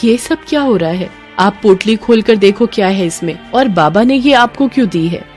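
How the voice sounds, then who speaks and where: A woman's voice: medium pitch, expressive, moderate pace, darkish tone, faint music behind, close to an everyday voice. A woman voicing a devout, reassuring village wife with earnest delivery in a moral cartoon. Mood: curious. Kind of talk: Hindi moral cartoon dialogue.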